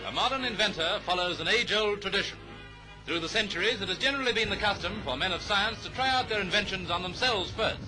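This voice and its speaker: A British man narrating a 1930s newsreel in a brisk, declamatory, jaunty announcer's manner. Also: sits high, poor audio. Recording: vintage 1930s newsreel soundtrack, music underneath. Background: music.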